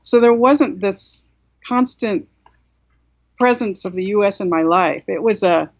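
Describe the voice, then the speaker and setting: A woman's voice: mid-range voice, measured pace, no room sound. A woman in her sixties, raised in South Korea, reflecting at length as a guest author on a video call, with many "you know"s and restarts. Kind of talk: casual interview on a video call. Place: home room indoors. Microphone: laptop webcam mic on a video call. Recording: dull, dry video-call audio.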